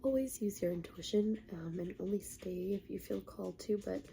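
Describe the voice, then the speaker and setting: A woman with a cold and a stuffy, congested voice, talking softly and hesitantly in a half-whisper. She pauses on many ums, sounds self-conscious about her voice, and gives a casual spiritual talk as a tarot reader. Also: medium pitch, creaky, crowd noise.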